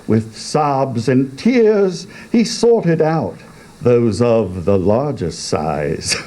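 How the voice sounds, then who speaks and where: A man giving a dramatic recitation of comic verse in a theatrical, deliberate manner. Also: mid-range voice, very animated, warm tone. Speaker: poetry reader.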